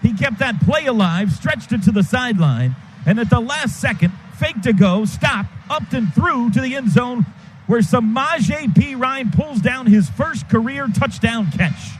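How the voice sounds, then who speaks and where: A man doing live radio play-by-play of a football game, quick clipped calls that build to shouts on big plays. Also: extremely high pitch, animated. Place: stadium radio booth. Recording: radio broadcast with loud crowd noise.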